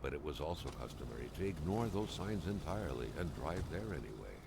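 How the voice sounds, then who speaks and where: A man voicing the narrator of a detective adventure game, telling the story in a dry, measured way with a gravelly voice. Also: extremely low pitch, sing-song, very noisy, full character voice. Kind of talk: scripted narration.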